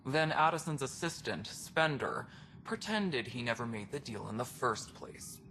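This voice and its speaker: A man playing a gruff, world-weary alien station superintendent in a video game, speaking bluntly with dry sarcasm. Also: mid-range voice, lively intonation, unhurried, sharp tone, slight background noise, low-quality recording. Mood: blunt, wry. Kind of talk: video game cutscene dialogue.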